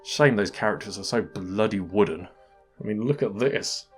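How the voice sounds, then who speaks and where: Guy doing a wry scripted game review, low voice, dry humour, music going underneath. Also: normal speed, slightly rough. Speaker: video game reviewer, channel host. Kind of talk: scripted comedic review narration.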